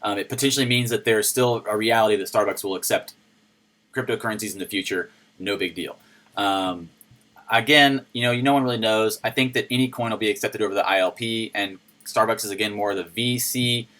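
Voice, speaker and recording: Man, low voice, casual news commentary, chatty, playful, host. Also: fairly quick. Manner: chatty and playful, with sudden shouted jokes. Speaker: crypto news video host.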